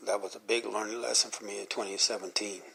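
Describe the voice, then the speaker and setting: Gravelly older man, low and husky. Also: fairly even intonation, fast, bright, forceful tone. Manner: rambling, conversational, earnest. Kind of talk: informal devotional talk.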